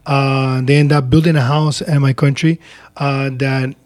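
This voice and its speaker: A man's voice: medium pitch, level tone, unhurried, darkish tone, no echo. Earnest middle-aged man from Honduras. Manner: earnest, laughs often, repeats himself. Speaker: guest speaker. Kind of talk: casual interview storytelling.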